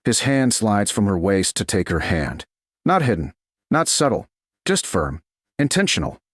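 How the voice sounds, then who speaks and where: A man narrating a scripted romance story in a measured, dramatic way, in short clipped phrases. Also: fairly low pitch, sing-song, slightly rough, no echo, studio quality. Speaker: audiobook narrator.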